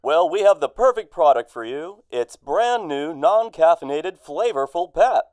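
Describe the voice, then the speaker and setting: An actor playing a chummy, insistent old-time radio advertising announcer who sells with an insinuating edge. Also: male voice, a little high, expressive, unhurried, a little mellow, no echo.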